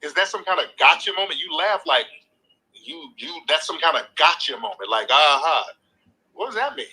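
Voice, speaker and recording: Man, live show host, combative lecturing, swearing, bright husky voice. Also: fairly high pitch, big pitch swings, normal speed, poor audio. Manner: combative, lecturing, repeats points; swears freely, talks over the caller. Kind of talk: heated live call-in argument.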